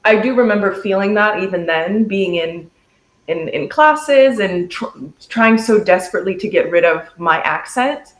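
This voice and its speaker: A young woman whose first language is Dutch reflects on her childhood in an interview. She speaks at a middling pitch, thoughtfully and fluently. Her voice rings in a roomy space. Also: normal speed. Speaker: singer in a music group, interview guest. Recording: roomy echo.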